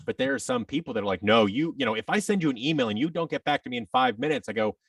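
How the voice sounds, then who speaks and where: A man in his thirties speaks in casual interview conversation with a lively, swinging pitch and some gravelly fry. He rambles and gives firm opinions, a little way off the mic in a dry space. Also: rapid-fire, dry recording, distant mic. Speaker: podcast guest, audio drama producer and actor.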